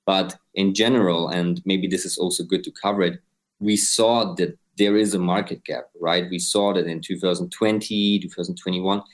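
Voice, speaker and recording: Deep-voiced Austrian man in his twenties. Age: 20s. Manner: explains step by step, often adds "right?". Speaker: guest, crypto company co-founder. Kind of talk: explanatory interview answers.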